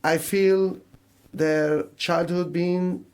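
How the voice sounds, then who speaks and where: Middle-aged syrian guy, arabic accent, slightly high voice, feels for words while chatting in a radio interview. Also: little pitch movement, a little mellow, smooth. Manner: halting, searching for words in non-native English. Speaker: radio interview guest, mental health charity service manager. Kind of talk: conversational radio interview, personal storytelling.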